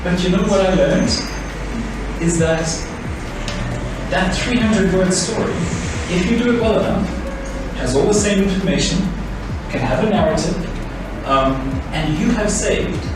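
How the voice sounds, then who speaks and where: A man's voice: high-pitched, unhurried, distant mic. A man giving a conversational workshop presentation as an editor and trainer. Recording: echoey room, off-mic, music underneath. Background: music.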